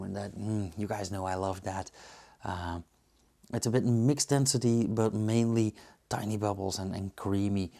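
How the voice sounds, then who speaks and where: A man with a Belgian accent giving casual, unscripted tasting commentary as a reviewer, pausing to muse and search for words. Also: on the low side, gravelly, a little mellow. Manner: hesitant, musing, searching for words. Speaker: beer reviewer and host.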